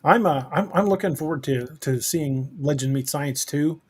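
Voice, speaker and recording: A man's voice: a little high, moderate pace, a little hiss or hum. A man in a casual podcast chat who talks eagerly and stammers into restarts.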